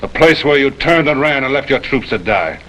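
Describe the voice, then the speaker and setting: A man's voice: mid-range voice, animated, normal speed, bright, forceful tone. An actor playing a hard, blunt, captive rebel gunman in an old TV western, taunting with scorn and menace. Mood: cold, taunting. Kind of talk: 1950s TV western acting. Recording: noisy old TV soundtrack, rain-like hiss.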